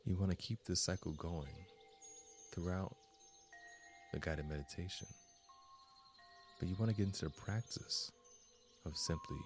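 A man with an extremely low voice leads a guided meditation. He speaks very slowly and calmly, leaving long pauses between measured, deliberate phrases. Soft music with chimes plays gently behind him. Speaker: guided meditation host and instructor. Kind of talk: slow guided meditation instruction.

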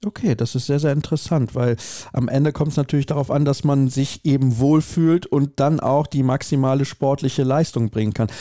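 A man's voice: medium pitch, moderate pace, hardly any pauses, dark tone, no echo, clean studio sound. A man hosting an interview, asking questions in a conversational way and repeating words for emphasis. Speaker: podcast host.

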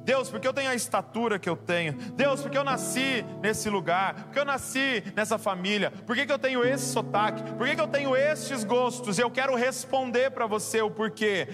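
A man's voice: very high-pitched, moderate pace, few pauses, music in the background. A man preaching a sermon to a congregation, emphatic and rising to passionate exhortation. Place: church with keyboard behind.

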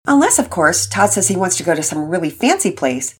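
A woman's voice: lowish voice, sing-song, brisk, husky. A woman voicing a middle-aged father who talks hesitantly and apologetically. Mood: friendly. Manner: hesitant, warm.

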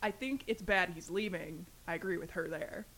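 A young woman co-hosting a college radio show, earnest and animated, rushing through explanations in quick bursts. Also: lowish voice, husky, poor audio. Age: young adult. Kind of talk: casual banter.

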